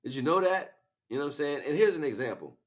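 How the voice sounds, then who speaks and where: A man's voice: on the high side, lively intonation, fast. A man livestreaming a casual, rambling spiritual monologue in street slang, often checking in with rhetorical questions. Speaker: livestream host.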